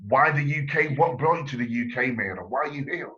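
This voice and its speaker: A man's voice: medium pitch, expressive, brisk, a bit bright, lo-fi sound. A man from England hosting an online interview, enthusiastic and effusive, with rambling questions. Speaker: interviewer, channel host.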